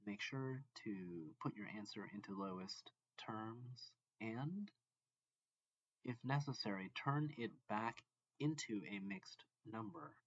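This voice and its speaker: A man reading a math lesson aloud as a teacher, deliberately and step by step, phrase by phrase. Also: lowish voice, dry recording, dull audio. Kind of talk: slow read-along lesson narration.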